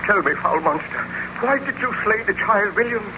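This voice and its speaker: Grim vengeful monster hunter, high pitched, melodramatic old radio acting, noisy recording. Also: male voice, animated, normal speed. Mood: outraged, defiant. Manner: earnest, melodramatic, formal diction. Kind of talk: 1930s radio drama acting.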